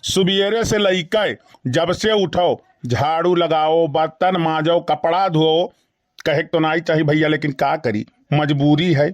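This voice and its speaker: A man's voice: on the high side, takes it slowly. A man voicing an exasperated, skinny henpecked village husband in a comedy cartoon, grumbling repetitively with an Awadhi accent. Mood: aggrieved complaining. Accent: Awadhi, eastern Uttar Pradesh. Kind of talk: rustic comedy cartoon dialogue.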